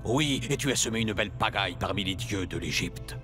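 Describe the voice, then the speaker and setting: A low male voice from an actor playing a solemn, anxious advisor of ancient Egypt. It is theatrical French cartoon work over clearly audible music. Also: animated, brisk, lightly acted. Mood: solemn dread. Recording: music under the voice.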